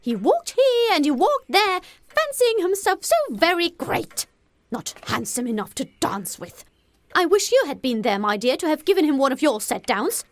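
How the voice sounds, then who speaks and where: Woman acting a shrill, gushing society mother. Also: sing-song, normal speed. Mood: indignant. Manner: breathless, exclamatory, effusive. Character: excitable, gushing, gossipy middle-aged English mother. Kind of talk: audiobook character voice.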